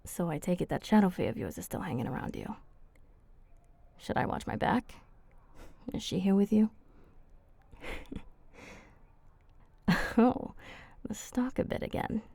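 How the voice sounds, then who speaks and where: A woman voicing a flirty, teasing female vampire in an ASMR roleplay, soft and husky, speaking intimately and haltingly. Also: medium pitch, big pitch swings, dry recording, heavily acted.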